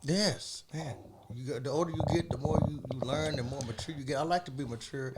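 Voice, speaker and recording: A man in his thirties co-hosting a casual podcast chat, animated and laughing often, stumbling over restarts, with some phlegm in his throat. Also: mid-range voice, a little fast, heavy background noise. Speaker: podcast co-host.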